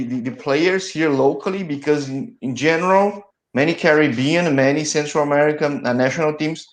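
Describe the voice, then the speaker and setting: Echoey-voiced Brazilian host, halting. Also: male voice, on the high side. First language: Portuguese. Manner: hesitant, repeats words while searching for phrasing. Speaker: interview host. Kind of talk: casual online interview.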